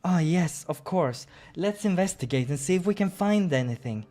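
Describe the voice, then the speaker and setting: Eager young cat-boy adventurer, played by a man, high and excitable, naive, visual novel game character. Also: normal speed, faint music behind.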